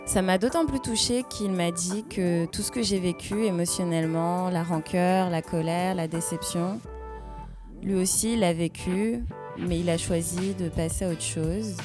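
A woman in her thirties hosting a podcast, speaking in an intimate, earnest and deliberate personal monologue. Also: fairly low pitch, fairly even intonation, music in the background. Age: 30s. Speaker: podcast host.

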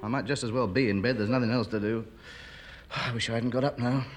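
An actor playing a gruff, impatient man who snaps at others to stop moaning, in a 1950s radio sitcom. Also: medium pitch, fairly even intonation, fairly quick, slight background noise, lightly acted. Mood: grumbling. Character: gruff, impatient middle-aged man reading the paper.

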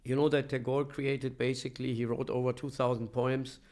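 A middle-aged man from Germany telling his story as an interview guest, with halting, thoughtful pauses. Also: mid-range voice, flat delivery, a little mellow. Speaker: podcast guest, professor and coach. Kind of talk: reflective conversational interview storytelling.

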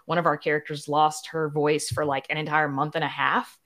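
Low-voiced woman, candid and self-deprecating. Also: level tone, a little fast, fairly bright tone. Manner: candid, self-deprecating, punctuates talk with "you know". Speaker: podcast guest, writer and producer. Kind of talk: candid casual conversation.

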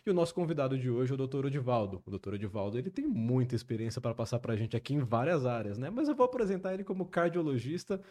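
A man hosting a podcast, introducing the guest and throwing in brisk, friendly short prompts. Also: medium pitch, big pitch swings, mellow. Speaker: podcast host. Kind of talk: casual podcast interview.

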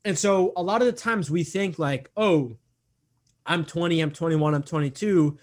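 A man's voice: a little high, moderate pace. A man in his twenties talking earnestly in an interview, repeating himself often and filling gaps with "um" and "like". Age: 20s. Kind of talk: casual interview talk.

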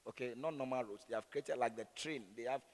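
A man with a Ghanaian accent and a raspy voice, preaching in an animated, conversational way full of rhetorical questions and repeated phrases. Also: mid-range voice, fairly quick. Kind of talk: sermon with conversational, opinionated asides. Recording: echoey hall with long reverb.

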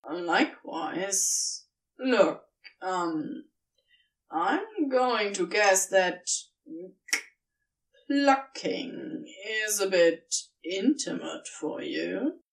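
A woman's voice: medium pitch, very animated, drawn-out words, pauses often, far from the mic, voice pushed down, full character voice, low-quality recording. A woman voicing a laid-back, flirtatious talking serpent in a fan fiction audio story, casual, teasing and a little hesitant. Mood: tentative. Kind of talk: audiobook-style fan fiction character reading.

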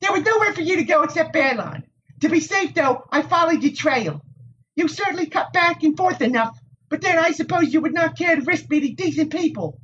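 A man reading an audiobook voices a stubborn, sharp-tongued young village woman with defiant retorts. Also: very high-pitched, animated, a little fast, recorded from a distance, highs cut off, pitched above the performer's own voice, exaggerated voice.

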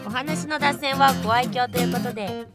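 A woman with a very high voice delivers a scripted podcast introduction. Plucked guitar music plays clearly behind her. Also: brisk.

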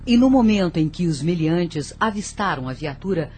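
A woman's voice: lowish voice, animated, moderate pace, a little mellow, slight background noise. A woman narrating a radio drama from a script.

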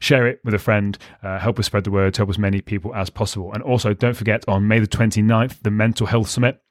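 Male coach-host, lowish croaky voice, rapid motivational solo talk, dry close mic. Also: steady tone, no echo. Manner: emphatic, repeats key lines for punch. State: slightly croaky from shouting. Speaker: podcast host and fat loss coach. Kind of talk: solo motivational podcast monologue.

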